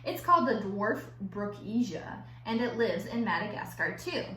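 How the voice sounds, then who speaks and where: A woman teacher reading aloud to children in an expressive, playful way, drawing out words for emphasis and adding chatty asides. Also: medium pitch, normal speed. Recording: roomy echo with faint background noise.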